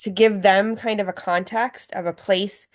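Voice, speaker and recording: A woman's voice: medium pitch, fairly even intonation, moderate pace. A woman taking part in a casual discussion among actors, feeling her way tentatively through her thoughts as she talks. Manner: tentative, thinking aloud.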